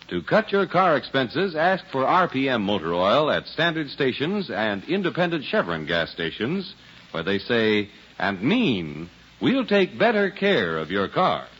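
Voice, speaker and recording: A man's voice: mid-range voice, a bit bright, a little hiss or hum. A man working as a radio announcer, reading scripted copy in a polished, emphatic 1940s style. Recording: dull old radio recording.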